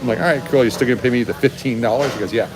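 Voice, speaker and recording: A man's voice: medium pitch, rapid-fire, music in the background, poor audio. A middle-aged man chatting casually and jokily as a live-stream host, telling comedy anecdotes. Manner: joking. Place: restaurant interior. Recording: busy venue with crowd and background bustle.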